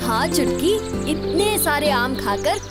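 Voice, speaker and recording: A woman voicing a good-natured young village boy hero in a children's cartoon, over loud music. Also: high-pitched, animated, slow pace. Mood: cheerful. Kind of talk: Hindi children's cartoon dubbing.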